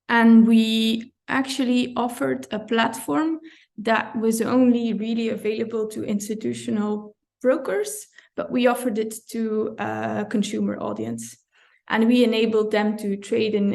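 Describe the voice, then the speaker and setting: A woman from Belgium with a fairly high, gravelly voice, talking as a guest in a relaxed interview. She works through her answers thoughtfully, pausing, correcting herself and laughing lightly. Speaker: compliance director, guest. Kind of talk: conversational interview.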